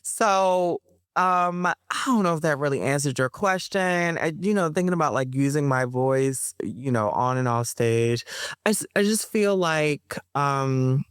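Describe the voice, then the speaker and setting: A woman's voice: deep voice, unhurried, clean tone, no echo. Thoughtful woman guest, dry close sound. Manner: thoughtful, many fillers and restarts, laughs often. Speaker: interview guest, actor. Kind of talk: podcast interview.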